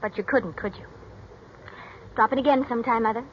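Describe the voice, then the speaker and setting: An actress playing a cold, haughty, spoiled young daughter in a 1940s radio drama. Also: female voice, fairly high pitch, brisk, a little hiss or hum, natural voice. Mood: cool, mocking. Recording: muffled 1940s radio recording, music.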